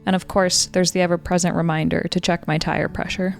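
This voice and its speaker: A woman's voice: on the low side, level tone, fairly quick, gravelly, faint music behind. A woman reading a scripted first-person personal essay as its writer.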